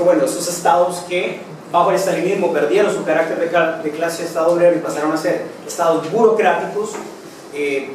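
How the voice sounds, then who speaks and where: A man from Costa Rica delivers a political lecture, his throat sore and his pitch somewhat high. He talks in a measured way with many fillers and restarts. The mic sits far from him in a very reverberant room with clear background noise. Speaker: conference speaker. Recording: echoey hall, distant mic, clear noise.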